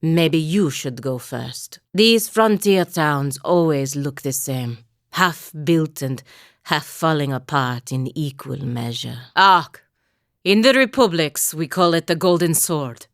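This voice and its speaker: A proud, dutiful female warrior from a fantasy video game. Her voice sits low and stays measured, with formal phrasing and a wry, stern edge. The sound is close and dry, with very little room around it. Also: expressive, no echo, full character voice. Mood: resolute. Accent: Valian, a lightly Italian-sounding fantasy accent. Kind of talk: scripted game dialogue, voice lines and barks.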